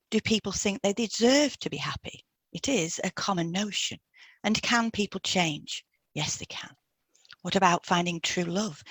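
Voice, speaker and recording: Husky woman, slightly low-pitched. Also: a bit bright, no echo. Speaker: podcast co-host and hypnotherapist. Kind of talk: scripted educational narration, read aloud.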